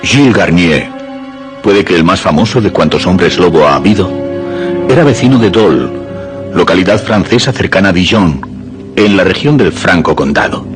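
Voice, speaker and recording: Male narrator, low pitch, scripted documentary storytelling, clear music bed. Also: lively intonation, normal speed. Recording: music under the voice.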